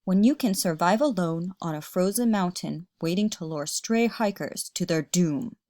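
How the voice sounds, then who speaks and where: A woman voicing a big, gruff old yeti grandfather who growls in a children's storybook. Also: fairly low pitch, lively intonation, normal speed, mellow, a little hiss or hum, close to an everyday voice. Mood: menacing, gruff.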